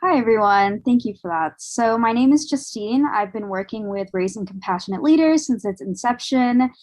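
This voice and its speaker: A woman in her twenties speaking as a guest on a podcast roundtable, earnest and measured, with frequent "um"s. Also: on the high side, lively intonation, a little mellow. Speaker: guest, doctoral student.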